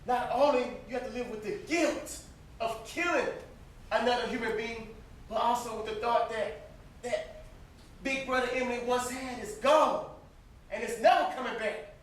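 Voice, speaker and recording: Distant echoey stage sound, young man playing anguished moral brother, very high bright voice, earnest, pleading. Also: fairly even intonation, moderate pace, a little hiss or hum. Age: young adult. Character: anguished, conscience-stricken brother resisting revenge. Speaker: student stage actor. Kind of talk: stage play acting.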